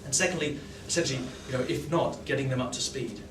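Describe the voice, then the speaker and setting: A man's voice: medium pitch, fast talker, background noise. A man giving a conference talk, often restarting his sentences and adding fillers. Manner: hesitant, many restarts and fillers. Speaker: conference speaker. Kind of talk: presenting a talk. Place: large conference hall. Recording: roomy hall sound from a distance.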